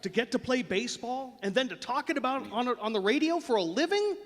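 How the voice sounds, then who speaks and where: Folksy old buddy in a radio play, high animated voice, pleading, hall echo. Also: male voice, a little fast. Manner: coaxing, earnest, folksy. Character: friendly, pleading old ex-ballplayer, loyal and nostalgic. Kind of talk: live radio drama acting. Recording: live stage, long hall reverb.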